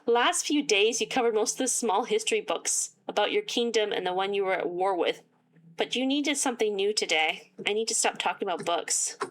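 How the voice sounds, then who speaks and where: A woman reading a visual novel aloud with sarcastic asides, her voice getting worn and hoarse. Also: medium pitch, fairly quick. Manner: reads game text aloud and breaks off with sarcastic asides. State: losing her voice. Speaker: gaming YouTuber.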